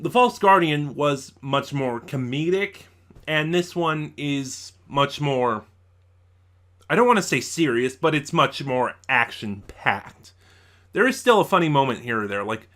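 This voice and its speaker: A man's voice: mid-range voice, sharp tone. A man giving a casual spoken review as an online host, thinking aloud and often correcting himself. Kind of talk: casual spoiler review of an audio drama.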